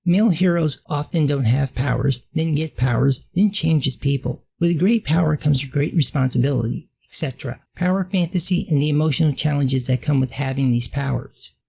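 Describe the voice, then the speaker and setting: A man's voice: fairly high pitch, moderate pace, gravelly, few pauses, mellow, slightly rough. A man with a dry voice delivering a sarcastic, blunt scripted film commentary. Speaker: film critic vlogger.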